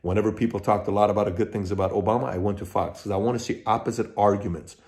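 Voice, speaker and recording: A man's voice: fairly low pitch, fairly even intonation, very fast, darkish tone. Emphatic man hosting his own channel. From Iran. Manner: emphatic, talks in lists with frequent restarts. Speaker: channel host. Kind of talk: direct-to-camera commentary.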